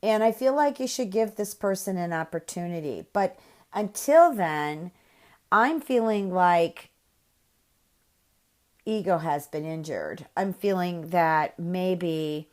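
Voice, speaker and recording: A woman with a slightly nasal, cold-congested voice giving a gentle, spiritual tarot reading with many pauses and fillers. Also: lowish voice, lively intonation. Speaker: tarot reader.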